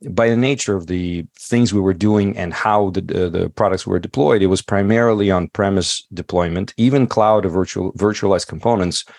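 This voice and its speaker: A middle-aged man whose first language is not English talks through his answers in an easygoing way, stopping often on fillers and restarts. His voice sits very low. The sound is dry and close, with no room echo. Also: normal speed, gravelly, few pauses, darkish tone. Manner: thoughtful, many fillers and restarts. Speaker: podcast guest. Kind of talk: casual interview talk.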